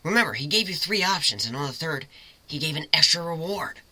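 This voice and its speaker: A woman's voice: low voice, lively intonation, fairly quick, bright, forceful tone, heavily acted, rough recording. A woman voicing a tough, casual, blunt but kindly female griffin pirate in a fan fiction reading. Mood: reassuring, frank.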